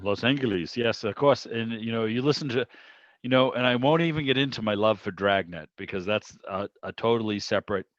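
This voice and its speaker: Male meeting host, lowish voice, rambling and enthusiastic casual talk, muffled video-call sound. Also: fairly quick. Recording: dull, roomy video-call audio.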